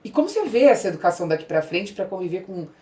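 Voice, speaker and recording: Fast-talking Brazilian woman hosting, roomy echo. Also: lowish voice, big pitch swings, mellow. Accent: Brazilian Portuguese. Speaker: podcast host, interviewer. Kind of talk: conversational interview.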